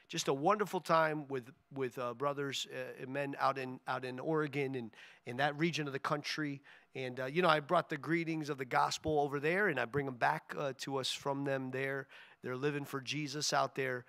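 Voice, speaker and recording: A pastor in his thirties with a tired, raspy voice, joking and telling stories from a church pulpit into a stand mic. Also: medium pitch, dry recording. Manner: joking, storytelling, animated. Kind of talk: casual sermon announcements. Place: church stage.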